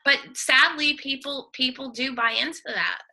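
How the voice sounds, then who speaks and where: A woman from New York chatting on a group video call, lively and joking. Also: high voice, moderate pace. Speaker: call member. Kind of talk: casual group video chat.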